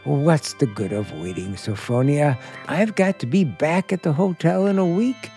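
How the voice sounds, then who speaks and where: An actor playing a sinister, coaxing bridegroom in an old-time suspense radio drama, with a folksy, drawling, insistent manner. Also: male voice, on the high side, animated, moderate pace, warm tone, faint music behind. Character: sinister, coaxing New England bridegroom with secrets.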